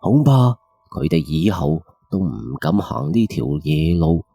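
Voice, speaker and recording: A man's voice: sits low, measured pace, warm tone, breathy edge, no room sound. A man with a Cantonese accent narrating a first-person ghost story in a colloquial, suspenseful late-night radio style.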